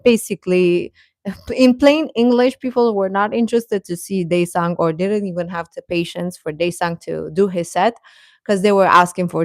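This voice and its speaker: A woman hosting a casual livestream, chatting and commenting in a hesitant, halting way. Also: mid-range voice, darkish tone, no echo, studio quality. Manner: hesitant, halting, chuckles often while talking. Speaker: livestream host reading viewer comments.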